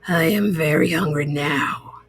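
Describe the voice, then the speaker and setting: Lady doing a scary old frog ghost for a kids story, way lower voice, hungry and threatening. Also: a little slow, a little hiss or hum. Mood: menacing, hungry. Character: menacing old dark frog ghost, hungry male villain.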